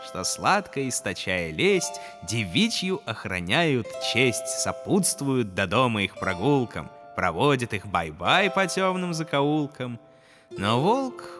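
A man, the host, reading aloud in an expressive, theatrical storyteller manner. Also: mid-range voice. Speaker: podcast host. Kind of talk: theatrical reading of verse, brief interjections in conversation.

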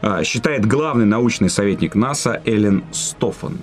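A man's voice: on the low side, animated, normal speed. A man co-hosting a casual podcast, reading out news with crude jokes and stumbling restarts. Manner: crude joking, hesitant restarts.

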